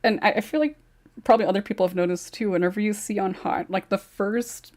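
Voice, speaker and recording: A woman's voice: mid-range voice, fairly even intonation, fast, a little mellow. A woman hosting a casual online panel chat, chatty and laughing between questions. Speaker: podcast host.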